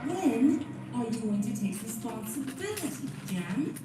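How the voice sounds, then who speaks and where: A woman's voice: fairly high pitch, very animated, a little slow, very echoey. Stern, accusing professor acted by a woman, audio drama, distant, music behind.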